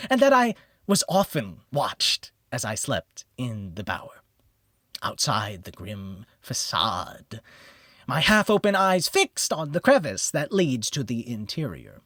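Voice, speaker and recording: A man reads gothic horror in a theatrical, solemn manner. His delivery is slow and deliberate, with very animated pitch. The recording is close and dry. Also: no room sound. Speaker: audiobook narrator. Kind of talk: dramatic scripted narration of a gothic horror story.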